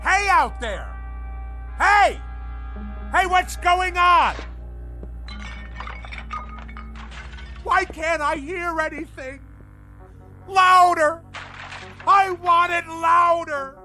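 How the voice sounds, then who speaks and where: Pompous navy nut husband in a radio drama, bright forceful high voice, kinda manic and paranoid. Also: male voice, slow pace, long pauses, faint music behind, full character voice. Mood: bewildered, alarmed, then panicked, shouting. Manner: bombastic, nautical, grandiose declamation. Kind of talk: theatrical radio drama acting.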